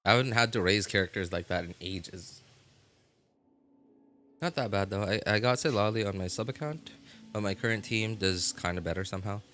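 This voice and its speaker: A man chatting casually on a game livestream, often halting and rephrasing as he answers viewers. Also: fairly low pitch, fairly bright tone. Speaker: live streamer. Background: music.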